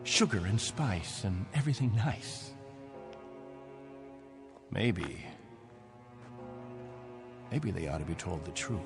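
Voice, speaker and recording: An actor playing a stern, proud, controlling captain of stranded settlers in a radio drama, with a commanding, insistent manner. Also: male voice, sits low, very animated, slow pace, pauses often, full character voice. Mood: troubled, disapproving. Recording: radio drama with music underneath.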